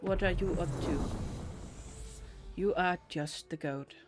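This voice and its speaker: A streamer chatting casually in a rambling, giggly, expressive way. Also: medium pitch, slow, music in the background. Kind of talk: casual livestream chat.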